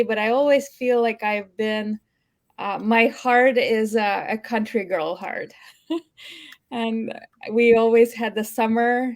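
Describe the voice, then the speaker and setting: A woman from Russia, speaking with a Russian accent, talks as a guest healer on a live-streamed video call in a soft, gentle, halting way. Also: fairly high pitch. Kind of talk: casual live-stream interview. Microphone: home video call.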